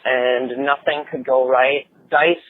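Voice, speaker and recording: A man's voice: mid-range voice, fairly even intonation, slow pace, a little hiss or hum. A man with an American accent, from Minnesota, recounting a report informally as a caller on a phone voicemail. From Minnesota, United States. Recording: tinny narrowband phone voicemail.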